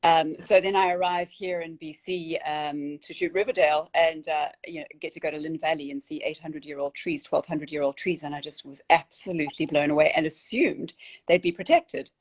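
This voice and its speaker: A young woman from South Africa with a South African accent, hosting a casual interview chat. Her voice sits low for a woman, and she speaks earnestly, often stopping and restarting with fillers. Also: steady tone, brisk. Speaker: host.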